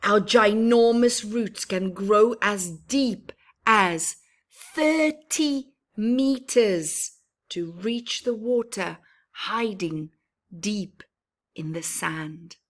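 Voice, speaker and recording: A woman's voice: mid-range voice, extremely slow. A woman voicing a proud, cheerful young boy tree from a children's storybook, with earnest, emphatic phrasing. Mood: proud wonder. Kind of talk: children's storybook narration in the first person.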